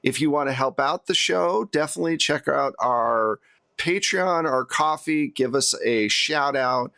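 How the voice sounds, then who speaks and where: A man's voice: mid-range voice, expressive, a little slow, vocal fry, pushed and bright, dry recording. A man who plays on a tabletop game show, chatting casually and giving show announcements. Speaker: show regular and player.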